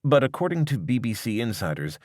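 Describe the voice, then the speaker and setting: A man reading a scripted piece of long-form journalism as narrator, in a measured, articulate way. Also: medium pitch, sing-song, dry recording, clean studio sound.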